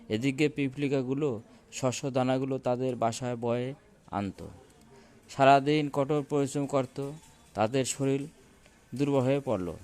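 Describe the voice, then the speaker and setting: A man's voice: mid-range voice, a little mellow. A man reading a scripted fable as a storyteller.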